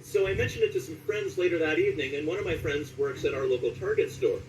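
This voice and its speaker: Higher-voiced man chatting from off the mic. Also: lively intonation, quick pace, recorded from a distance, slight background noise, poor audio. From Southern California. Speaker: voice actor being interviewed. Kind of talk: casual anecdotal interview chat.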